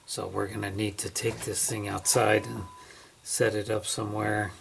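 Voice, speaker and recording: A man's voice: fairly low pitch, level tone, moderate pace. A man giving a casual, unscripted hands-on product review, thinking aloud as he works indoors at home. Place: inside a house, under a kitchen-type cupboard. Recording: clear radio static and background hiss.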